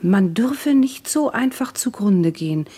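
An actress playing a devoted young wife in a radio play, earnest and coaxing. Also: female voice, mid-range voice, lively intonation, a little slow, warm tone, breathy edge. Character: devoted young Russian wife, pleading and practical.

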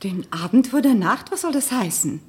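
A gracious, inquisitive hostess performed by a woman in a radio play. Her fairly high voice swings expressively as she probes and questions. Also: animated, normal speed, darkish tone, lightly acted. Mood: suspicious, concerned. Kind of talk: German radio drama acting.

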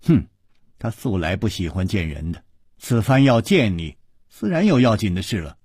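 A man voicing a haughty, sharp-tongued young princess in an audiobook, sneering and scolding. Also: fairly low pitch, very animated, normal speed, slightly rough, dry recording, studio quality. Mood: scornful.

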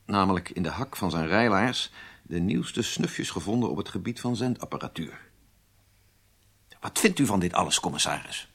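An actor playing a stern police major in a radio drama, formal, measured and insistent in manner. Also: male voice, fairly low pitch, a bit bright. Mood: formal reporting. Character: stern, methodical male police major interrogating a spy.

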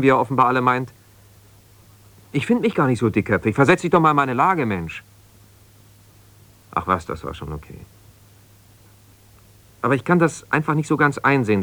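A man's voice: mid-range voice, lively intonation, brisk, pauses often, fairly bright tone, no room sound, close to an everyday voice. An actor playing a middle-aged, self-justifying lawyer left by his wife, talking argumentatively and insistently in a naturalistic radio drama. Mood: stubborn, reluctant. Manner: argumentative, insistent, hesitating when conceding. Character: ambitious lawyer, abandoned husband, self-justifying, stubborn, defensive.

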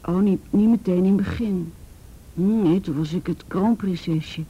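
A woman's voice: fairly low pitch, normal speed, dark tone, breathy edge, lo-fi sound. An actress playing a frightened teenage girl in a Dutch radio play, stammering and repeating words as she recounts painful memories. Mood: hesitant, wistful.

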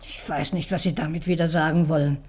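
A woman's voice: deep voice, steady tone, quick pace, darkish tone. A woman playing a defensive, easily rattled household servant in a German radio crime drama. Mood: flustered, defensive.

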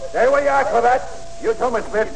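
A man's voice: very high-pitched, fairly quick, creaky, heavily acted. An actor playing a commanding policeman barking orders in 1940s radio drama style. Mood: shouted commands. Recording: very noisy, reverberant old radio recording.

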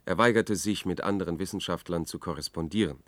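Casting: male actor for brooding, uneasy man in a science fiction radio play, deep, measured, dry studio sound. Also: no echo, lightly acted. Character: anxious, brooding man recounting his search for a friend. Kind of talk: German radio drama acting with narration.

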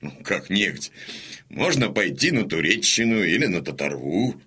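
An actor playing a hot-headed, stubborn old Cossack warrior in an audio drama, gruff-voiced, blunt and insistent. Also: male voice, sits low, big pitch swings, normal speed, sharp tone, exaggerated voice. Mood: probing, insistent.

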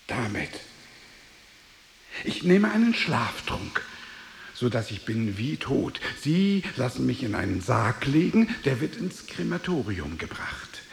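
A man playing a tormented, world-weary merchant full of guilt and longing for death, in solemn, deliberate radio drama delivery. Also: medium pitch, sing-song, creaky, echoey room, a little hiss or hum, exaggerated voice. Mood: resolute longing. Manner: grave, deliberate, declamatory delivery.

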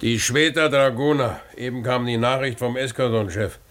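A man's voice: medium pitch, moderate pace, fairly bright tone, lightly acted. An actor playing a boisterous, self-important male village mayor in a German radio play, speaking in an officious, commanding way. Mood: brisk, demanding.

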